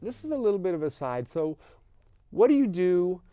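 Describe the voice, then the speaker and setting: A man hosting a casual interview, chatty and affirming, with quick asides to the guest and viewers. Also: high voice, very animated, warm tone, clean tone, dry recording. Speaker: talk show host.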